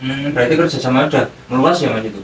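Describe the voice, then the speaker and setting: A man's voice: medium pitch, fast talker. Echoey, distant male podcast host. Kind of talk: casual chatty interviewing. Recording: echoey and distant, faint noise.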